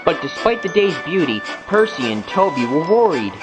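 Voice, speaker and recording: Animated, higher-pitched male storyteller. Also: normal speed, over loud music, low-quality recording. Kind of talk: scripted children's story narration.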